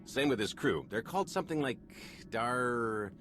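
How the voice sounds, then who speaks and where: A man's voice: mid-range voice, lively intonation, moderate pace, fairly bright tone, soft background music, close to an everyday voice. An actor playing a savvy male underworld fixer in a video game, matter-of-fact and streetwise in manner.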